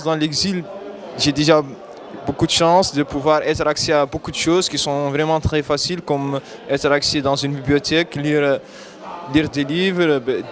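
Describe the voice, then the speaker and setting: A man recounting his move abroad in a reflective, hesitant interview, searching for words. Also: fairly high pitch. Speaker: migrant interviewee.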